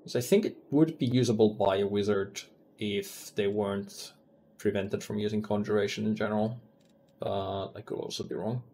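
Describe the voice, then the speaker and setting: A man's voice: on the low side, normal speed, warm tone, slight background noise. A man streaming a fantasy roleplaying game who thinks aloud in casual commentary, with many ums as he weighs loot and rules.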